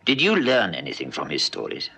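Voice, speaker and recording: An actor playing a weary, authoritative police brigadier, brusque and musing, in 1960s radio drama style. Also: male voice, very deep voice, huge pitch swings, fairly quick, gravelly, pushed and bright, slightly rough, heavily acted. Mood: sceptical, musing.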